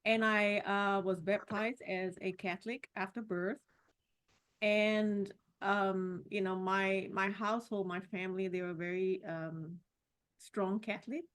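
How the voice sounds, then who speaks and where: A woman's voice: medium pitch, fairly even intonation, a little slow. Reflective woman from Singapore. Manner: warm, reflective, with frequent "you know" and restarts. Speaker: podcast guest. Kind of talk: personal story in a casual interview.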